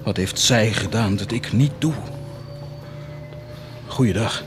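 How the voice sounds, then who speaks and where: A man's voice: lowish voice, slow, over music. An actor playing a proud, tormented, brooding man in a theatrical radio drama, moving between muttering and anguished outcries. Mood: brooding, urgent. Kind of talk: stage-like radio drama acting.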